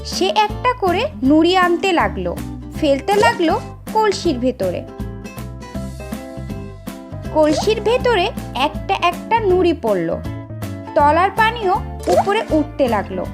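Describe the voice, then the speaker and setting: A woman narrating a children's story in a slow, sing-song way. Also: extremely high pitch, very animated. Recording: over children's music.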